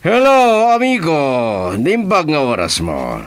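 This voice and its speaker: A man playing a commanding crime boss in a radio drama, speaking deliberately and expressively. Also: sits high, huge pitch swings, heavily acted. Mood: chummy.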